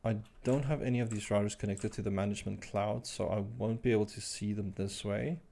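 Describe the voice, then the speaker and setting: A man hosting a live tech stream, thinking aloud as he types commands, his voice croaky today. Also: on the low side, fairly even intonation, fairly quick, mellow. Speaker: livestream host. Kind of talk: casual live tech walkthrough.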